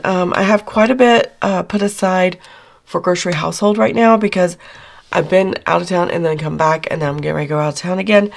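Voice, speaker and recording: A middle-aged woman, hoarse after losing her voice, chatting casually through her weekly budget check-in with lots of fillers. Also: lowish voice. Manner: chatty, rambling with many fillers. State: hoarse, recovering from lost voice. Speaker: budgeting channel host.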